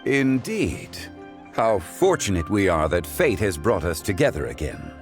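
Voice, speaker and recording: An actor playing a calm, ancient male consultant who speaks in a formal, measured and courteous way, in a video game. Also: sits low, music in the background, heavily acted. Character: ancient, composed male consultant of refined taste.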